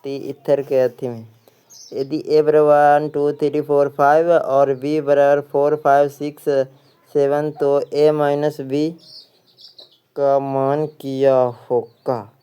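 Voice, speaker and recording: A man's voice: a little high, level tone, takes it slowly, mellow, clear, resonant tone. A man with an Indian accent teaching for an exam, reading quiz questions and answers aloud and often repeating them.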